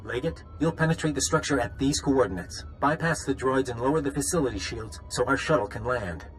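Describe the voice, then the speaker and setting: Methodical game squad leader, male actor, mid-pitched, measured, authoritative, faint music underneath. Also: lightly acted, low-quality recording. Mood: commanding. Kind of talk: video game voice acting.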